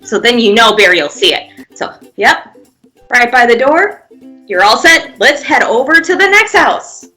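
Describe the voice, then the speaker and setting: A woman's voice: fairly high pitch, sing-song, a little slow, sharp tone, faint music behind. A woman guiding young children through pretend play, giving simple encouraging step-by-step prompts with playful sound effects. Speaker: children's museum presenter leading a pantomime activity.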